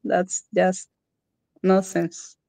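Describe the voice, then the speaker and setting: A woman's voice: lowish voice, monotone, drawn-out words, creaky, warm tone, no room sound. A woman from Rwanda with a Rwandan accent, talking casually as an interview guest and repeating phrases for emphasis. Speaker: podcast guest.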